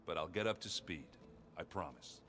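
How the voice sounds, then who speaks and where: Deep-voiced, blustery, good-natured warrior. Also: male voice, expressive, moderate pace, a little hiss or hum. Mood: easygoing, earnest. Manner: blustery, folksy, plain-spoken. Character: big rough-edged good-natured warrior man, awkward and earnest. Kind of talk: video game character dialogue. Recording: loud music behind the voice.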